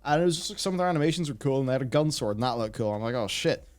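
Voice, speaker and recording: A man's voice: mid-range voice, animated, racing. Rambling male gaming host, thinking aloud. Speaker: host reading and answering viewer comments. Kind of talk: casual gaming discussion.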